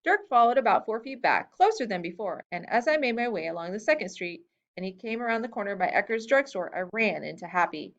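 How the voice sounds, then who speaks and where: A woman reads a first-person story aloud, her pitch moving widely as she gives the quoted lines more lift. The recording sounds a little dull and lo-fi. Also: a little fast. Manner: expressive reading that lifts pitch for quoted lines. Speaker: reader. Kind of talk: storybook reading of a memoir-style tale.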